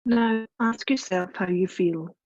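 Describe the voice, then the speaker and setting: A woman yoga teacher narrates a guided meditation. Her voice is mellow and relaxed at a medium pitch, with soothing, unhurried instructions. The recording is dry and a little dull. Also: no room sound.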